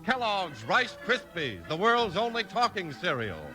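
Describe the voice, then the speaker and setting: A man in the role of a 1950s radio announcer. His voice is high and very animated, with polished, emphatic sales delivery. Music plays clearly behind him. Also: measured pace.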